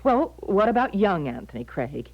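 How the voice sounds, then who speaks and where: Radio-play mother: middle-aged, insistent, agitated woman with animated delivery, dull lo-fi broadcast sound. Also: mid-range voice, normal speed. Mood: anxious. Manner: agitated, emphatic, theatrical. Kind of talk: 1970s radio drama acting.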